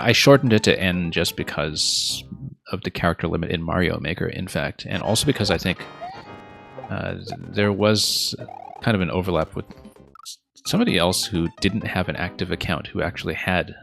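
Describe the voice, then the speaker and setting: A man's voice: low-pitched, vocal fry, a bit bright. A man streaming a level-making game, chatting casually with viewers in a thoughtful way, with many fillers. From not from Japan. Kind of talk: casual live-stream chat while playing. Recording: faint game music behind.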